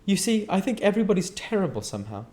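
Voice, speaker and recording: A man plays a young society wife in an audiobook, pitched well up into a high register. She is affected and world-weary, breezy and dramatic in the way she talks. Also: big pitch swings, fast talker, a little mellow. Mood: jaded, dramatic.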